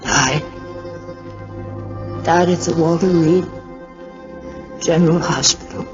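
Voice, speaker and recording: A woman's voice: deep voice, takes it slowly. An actress playing a brave, restrained young woman grieving her husband in a 1940s radio drama. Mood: grieving, numb. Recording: muffled 1940s radio recording with music.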